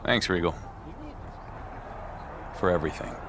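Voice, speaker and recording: A battered wrestling champion in a game cutscene. His low voice is subdued and unsure of itself. There is background noise around him. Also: a little slow. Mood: weary. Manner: hesitant, subdued. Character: battered, weary, self-doubting male wrestling champion. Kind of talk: video game cutscene acting.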